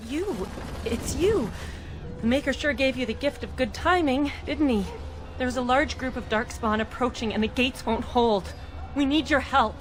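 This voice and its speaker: A woman's voice: high-pitched, normal speed, lightly acted, rough recording. A woman voicing a harried, alarmed townsperson in a fantasy game, urgent and exclamatory. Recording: music behind.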